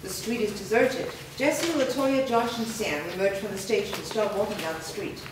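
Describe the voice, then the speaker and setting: A woman's voice: medium pitch, normal speed. A woman reading scripted stage directions at a staged reading, recorded in an echoey room. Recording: echoey room, distant mic, faint noise.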